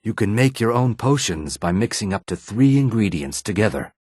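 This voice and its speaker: A weary lizard-man from a fantasy video game. His voice sits very deep, with a rough, raspy grain, recorded close and dry. He talks plainly and guardedly, a wary working fighter who measures his words. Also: expressive, normal speed, darkish tone, dry recording. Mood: matter-of-fact.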